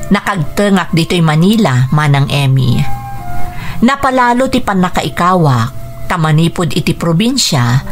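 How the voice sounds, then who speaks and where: A woman playing an earnest young student from the provinces in an Ilocano radio drama. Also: fairly low pitch.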